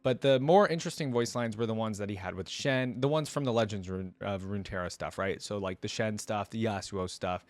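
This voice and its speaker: A man's voice: on the low side, a little fast, few pauses, no echo. A man giving casual, informal reaction commentary, often putting questions straight to his viewers. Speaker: reaction video host.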